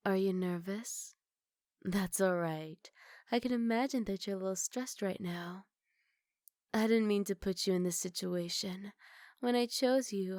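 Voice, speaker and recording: A woman's voice: mid-range voice, dry recording, lightly acted. Woman as a sweet, spoiled wolf girl, close and dry. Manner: pleading, earnest, gently coaxing. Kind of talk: ASMR roleplay acting.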